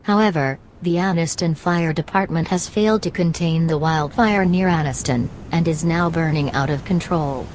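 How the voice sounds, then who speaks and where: A woman's voice: deep voice, normal speed, hardly any pauses, a little mellow, slight background noise, low-quality recording. A woman reading a scripted news bulletin as a radio announcer.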